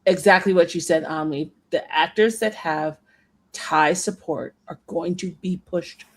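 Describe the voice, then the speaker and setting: Low-voiced woman co-hosting a chatty podcast. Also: unhurried. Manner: explains points at length, emphatic. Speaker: podcast co-host. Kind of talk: casual chat.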